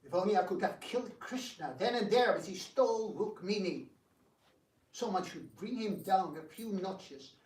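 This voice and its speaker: A man's voice: sits high, lively intonation, moderate pace, a little mellow, distant mic. A man playing a bitter, resentful, grumbling prince in an amateur dramatic reading, in an exasperated theatrical manner. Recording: echoey room, picked up from afar.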